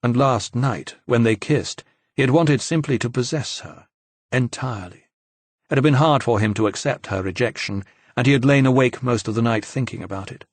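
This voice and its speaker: A man's voice: mid-range voice, normal speed, dry recording. A man narrating fiction from a script as an audiobook reader.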